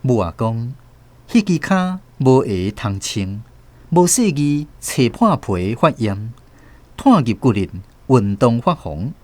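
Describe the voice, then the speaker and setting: Expressive Taiwanese storyteller nephew. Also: male voice, medium pitch, slow, dry recording. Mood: sorrowful, reflective. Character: earnest nephew recalling his family's sorrows. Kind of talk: first-person radio drama narration.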